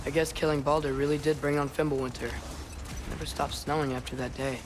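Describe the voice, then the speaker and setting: A man's voice: fairly high pitch, flat delivery, a little fast, close to an everyday voice. An actor playing an earnest, sensitive teenage boy adventurer in a video game, with informal, youthful phrasing. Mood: subdued, wistful. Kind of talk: cinematic video game acting. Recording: loud action noise behind voice.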